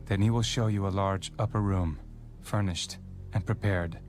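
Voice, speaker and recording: An actor playing a solemn, authoritative male teacher and prophet in a dramatized audio Bible, speaking in measured, grave declarations. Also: low-pitched, a little mellow, natural voice. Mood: calm instructing. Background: background music.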